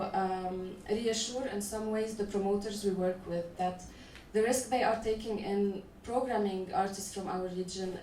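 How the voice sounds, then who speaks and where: A woman festival organizer speaks on a conference panel in a measured, halting way, stopping often on "uh." Her pitch sits in the middle range. She is recorded from a distance in an echoey hall with faint noise behind her. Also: warm tone. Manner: measured, halting, frequent "uh" restarts.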